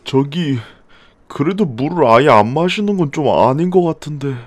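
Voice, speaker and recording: A man's voice: a little high, lively intonation, moderate pace, darkish tone, no room sound. A man voicing a timid, anxious young man who talks hesitantly and pleads.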